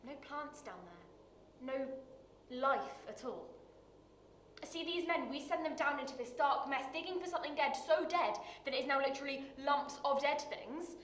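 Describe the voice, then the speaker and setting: A woman acting a dramatic screen monologue as a bitter, wounded working-class woman, confessional and halting, with weighted pauses. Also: high-pitched, animated, sharp tone, heavily acted. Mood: bitter, intense. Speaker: actor performing a monologue. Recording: roomy echo with light background noise.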